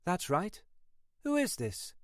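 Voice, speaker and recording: A man's voice: sits high, wildly swooping pitch, takes it slowly, no echo, studio quality, pitched above the performer's own voice, exaggerated voice. A man voicing a startled young woman, wary and alarmed, woken by a late phone call.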